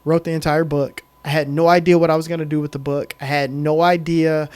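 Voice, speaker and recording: Animated, emphatic male podcast guest. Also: on the high side, fairly quick. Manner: animated, emphatic storyteller with many ums. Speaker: podcast guest, film director. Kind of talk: casual storytelling interview.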